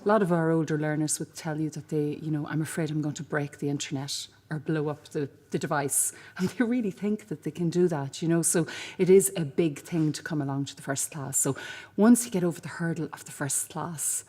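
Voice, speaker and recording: A woman's voice: deep voice, a little fast, warm tone. A woman who tutors adults, talking conversationally and with understanding about older learners in an interview. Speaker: community college tutor. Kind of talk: documentary interview.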